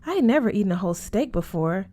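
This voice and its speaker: A woman's voice: mid-range voice, expressive, fast, warm tone. A woman narrating a first-person story as an audiobook reader.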